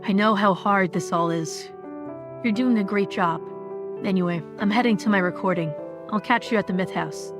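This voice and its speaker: Casting: actress as a candid, encouraging idol pal, mid-pitched, animated drama, music underneath. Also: female voice, normal speed, natural voice. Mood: frank, reassuring. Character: frank, supportive female vtuber idol friend.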